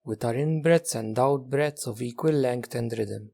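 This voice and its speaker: Man, meditation teacher, very slow pacing, warm relaxed tone, mid-range pitch, gentle delivery, long pauses. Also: gravelly. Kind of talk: guided meditation.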